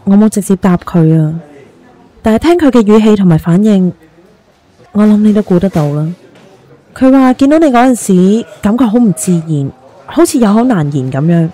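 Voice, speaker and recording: A woman playing a caring young friend in a Hong Kong Cantonese radio drama. Her voice is soft-edged and warm, mid-pitched, with a gentle, measured delivery. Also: lively intonation, close to an everyday voice, low-quality recording. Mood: concerned, earnest.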